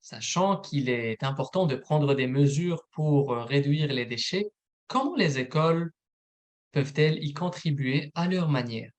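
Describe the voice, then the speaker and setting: A man, a program manager, presenting a recorded informational webinar in a steady, methodical read. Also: a little high, unhurried.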